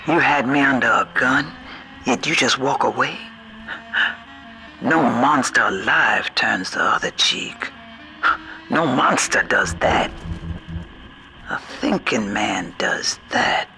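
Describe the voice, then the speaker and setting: Slow, grave older Southerner. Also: mid-range voice, lively intonation, creaky, bright, forceful tone, soft background music, heavily acted. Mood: astonished, wary. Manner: slow, deliberate, folksy phrasing.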